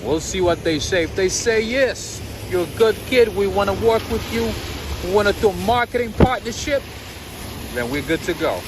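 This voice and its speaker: A man talks casually to his livestream audience as he walks a city street at night, his voice sitting a little high. He speaks into a handheld phone, and loud traffic noise fills the background. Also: normal speed. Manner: chatty, addresses viewers, lively swings in pitch. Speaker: livestream walking-tour host. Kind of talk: casual livestream chat with viewers.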